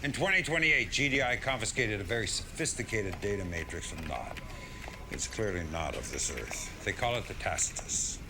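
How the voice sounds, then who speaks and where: An actor playing a gruff, resolute general in a video game cutscene, speaking bluntly with grave command. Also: male voice, lowish voice, lively intonation, normal speed, background noise. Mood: grave, urgent. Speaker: military general.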